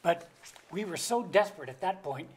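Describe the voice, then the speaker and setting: A woman playing a curmudgeonly middle-aged parent with a deadpan, deliberate wit in a staged comedy reading. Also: fairly low pitch, a crowd in the background, natural voice. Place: auditorium stage.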